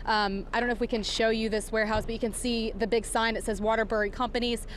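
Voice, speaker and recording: A woman working as a field news reporter, giving a live breaking report in a halting, improvised, explanatory way from outdoors. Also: fairly high pitch, little pitch movement. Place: outdoors outside a warehouse. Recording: clear background noise outdoors.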